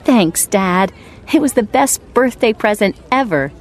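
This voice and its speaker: A woman's voice: mid-range voice, lively intonation, measured pace, a little hiss or hum, voice pushed up, lightly acted. A woman reading the part of an earnest, appreciative teenage girl in a storybook, sincerely. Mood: delighted.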